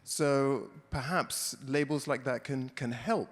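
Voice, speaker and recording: A man's voice: medium pitch, slow. A man moderating a panel discussion, taking questions from the audience in a conversational way. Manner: conversational, repeats words when starting. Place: stage in a large hall. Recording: long hall reverb.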